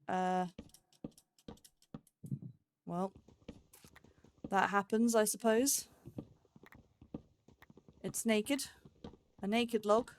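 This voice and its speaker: A woman's voice: medium pitch, very slow, long pauses, slight background noise. A woman giving casual, rambling gameplay commentary, thinking aloud with a playful, self-chiding manner. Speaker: let's play host.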